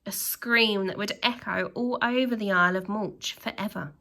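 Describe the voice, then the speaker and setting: A woman reading a children's storybook aloud like a teacher, with animated, dramatic emphasis and little asides to her young listeners. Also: medium pitch. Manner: expressive, with dramatic emphasis and exclamations; pauses to address young listeners with questions.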